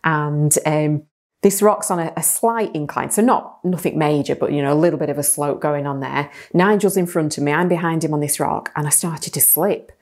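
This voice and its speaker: A woman in her forties from England telling a personal story as a church speaker, lively and direct to the camera in a small studio. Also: sits low, normal speed. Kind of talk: sermon storytelling. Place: small plain studio, indoors.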